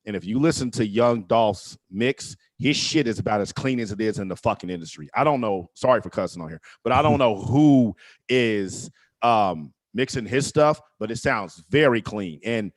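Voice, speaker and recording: A deep-voiced man from Indianapolis gives frank, laid-back feedback as a guest on a music stream. He talks low and often trails into mumbles, and the sound is dry and close. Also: animated, moderate pace, dry recording. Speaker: guest reviewer. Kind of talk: casual feedback on a track.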